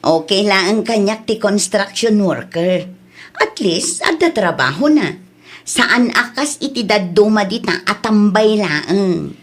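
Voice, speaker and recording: A woman's voice: mid-range voice, very animated, slow pace. A woman playing a plain-spoken, reproving village woman in a comedy audio drama, with a Filipino accent and a chiding manner. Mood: matter-of-fact.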